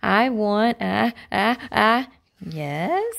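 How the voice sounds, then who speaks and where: A woman's voice: mid-range voice, sing-song, drawn-out words, vocal fry, fairly bright tone, a little hiss or hum. A woman reading a children's picture book aloud, expressively acting out the characters, in a home recording. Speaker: read-aloud storyteller. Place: living room at home, indoors.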